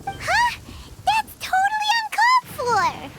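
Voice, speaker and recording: A woman voicing a tiny, indignant, childlike fairy companion in a video game, squeaky and squealing. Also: huge pitch swings, slow, exaggerated voice. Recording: loud game music behind the voice.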